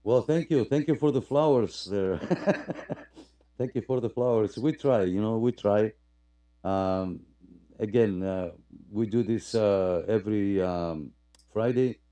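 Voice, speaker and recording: A man hosting a radio club live stream in casual, halting, unscripted chat, often repeating his phrases. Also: lowish voice, dark tone.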